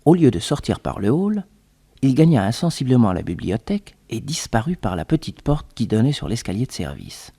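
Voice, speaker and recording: A man acting as narrator of a French detective radio serial, with a slightly husky voice, telling it suspensefully. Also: medium pitch, sing-song, a little fast, mellow. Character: detective's loyal assistant recounting the adventure.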